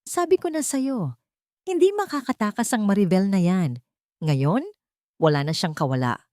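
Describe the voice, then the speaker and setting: A woman's voice: mid-range voice, expressive, moderate pace, a little mellow, no echo, clean studio sound, voice pushed up, natural voice. A woman voicing a scheming, wealthy mother, a smug and self-assured villainess in an audio story. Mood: gloating.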